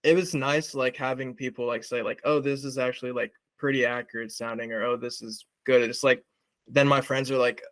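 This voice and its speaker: A man's voice: medium pitch, fairly even intonation, brisk, fairly bright tone, no room sound. A young man hosting an interview, talking casually with lots of "like" fillers. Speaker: interviewer, project creator.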